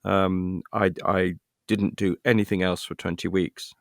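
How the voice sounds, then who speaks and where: A man's voice: low-pitched, little pitch movement, measured pace, creaky, dry recording, clean studio sound. A British man chatting casually as an interview guest, rambling a little with hesitant, self-deprecating asides. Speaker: interview guest, actor and writer.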